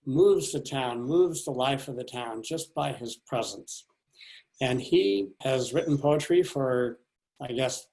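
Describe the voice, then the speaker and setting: An older man hosting an interview over a video call from a large living room, introducing his guest in an admiring, unhurried way. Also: medium pitch, a little mellow, poor audio. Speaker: show host. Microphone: video call webcam.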